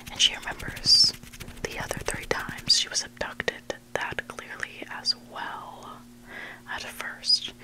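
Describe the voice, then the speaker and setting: An ASMR host whispering scary stories in a hushed, unvoiced voice, close to the microphone. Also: poor audio. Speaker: ASMR host reading listener-submitted stories. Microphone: close microphone made for headphone listening.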